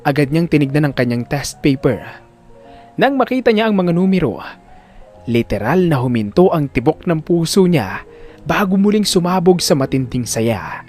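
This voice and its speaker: A man narrating a scripted drama story in an expressive, emphatic storyteller's delivery. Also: fairly high pitch, normal speed, soft background music.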